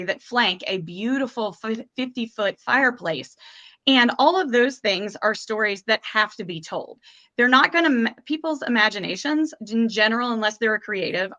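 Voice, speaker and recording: A woman's voice: mid-range voice, bright, forceful tone. A woman speaking as a marketing panelist at a workshop, painting vivid pictures to persuade. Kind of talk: workshop advice.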